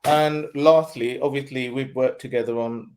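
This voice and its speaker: A man hosts an online webinar and introduces and interviews his guest. He talks in a loose, conversational way with ums and restarts, in a voice of middle pitch. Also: steady tone, normal speed, a little mellow.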